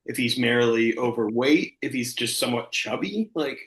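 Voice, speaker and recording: A man's voice: medium pitch, lively intonation, moderate pace, a bit bright. A man bantering casually on a comedy show in a mock-earnest way. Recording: echoey room sound.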